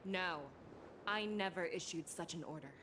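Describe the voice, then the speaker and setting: A woman voicing a stern, resolute female general in a video game, measured and authoritative. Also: lowish voice, natural voice. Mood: commanding. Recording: game ambience noise behind voice.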